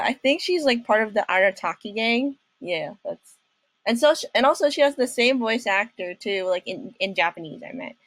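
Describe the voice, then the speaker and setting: A woman's voice: medium pitch, fairly bright tone, no room sound. Hesitant young woman chatting, dry close sound. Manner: hesitant, rambling. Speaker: reaction video host. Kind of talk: casual reaction chat.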